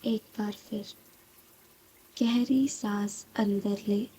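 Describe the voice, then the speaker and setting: A woman guiding a scripted meditation in a soft, breathy voice, calm and soothing, with long pauses between short phrases. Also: mid-range voice, rough recording. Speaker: meditation guide. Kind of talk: slow guided meditation, scripted.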